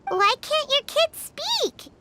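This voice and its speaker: A tiny floating fairy companion from a video game, childlike, squeaky and excitable. The voice is extremely high and swings wildly in pitch, spoken at an unhurried pace over prominent music. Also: female voice, full character voice. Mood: curious, cheerful. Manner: refers to self in third person, exclamatory.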